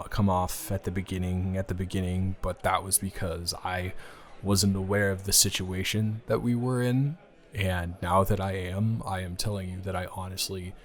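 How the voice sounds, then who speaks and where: A man playing a confused, hesitant friend with benefits who stammers and hedges through an improvised intimate audio roleplay. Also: low-pitched. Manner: halting, stammering, hedging.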